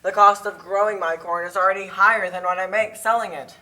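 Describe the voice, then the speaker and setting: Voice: mid-range voice, fairly even intonation, a little fast, pushed and bright, close to an everyday voice. A performer voicing a weary, struggling small corn farmer in a puppet show, earnest and plaintive.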